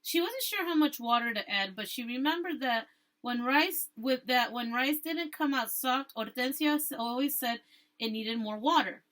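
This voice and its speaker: Higher-voiced woman teacher reading a story aloud. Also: fairly bright tone. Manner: stumbles and rereads phrases, pauses to explain to listeners. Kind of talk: storybook read-aloud with explanatory asides.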